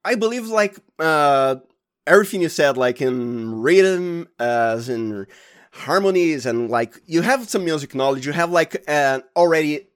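A man with a Brazilian accent, a native Portuguese speaker, talking casually and haltingly as an interview guest. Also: on the high side, lively intonation, clear, resonant tone. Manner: halting, informal, often says "like". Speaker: sound designer and podcast guest.

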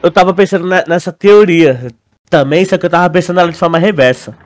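Young brazilian guy, pretty high voice, slangy casual podcast talk. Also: fast talker. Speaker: podcast co-host. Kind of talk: casual chat.

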